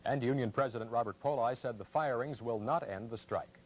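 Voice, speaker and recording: A man's voice: mid-range voice, fairly quick, poor audio. A man with an American accent reading scripted television news as an anchor. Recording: faint noise, archival TV broadcast.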